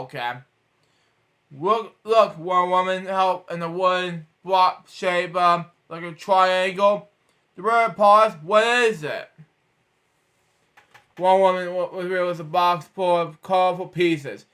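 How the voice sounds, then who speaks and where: A man's voice: high-pitched, sharp tone. A man reading a children's storybook aloud, stumbling over words and breaking off for rambling asides of his own. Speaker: storybook reader.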